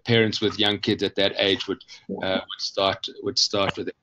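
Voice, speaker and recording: A man explaining his ideas conversationally as an interview guest, thoughtful and halting, often pausing mid-phrase to rephrase. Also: low-pitched, flat delivery, sharp tone, dull audio, slight background noise. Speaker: guest, children's book author.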